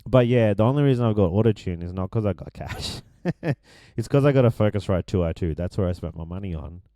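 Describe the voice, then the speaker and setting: A man with a deep voice that is going hoarse, casually walking through his vocal mix with many ums and restarts. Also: lively intonation, a little fast, mellow, no echo, studio quality. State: losing his voice. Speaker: music producer explaining his mix. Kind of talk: casual screen-share tutorial.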